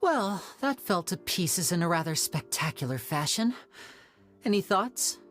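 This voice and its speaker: A woman voicing a composed, courteous, shrewd young character in a video game, measured and wry. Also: lowish voice, big pitch swings, faint music behind. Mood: wry, resolute. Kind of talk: English video game voice acting.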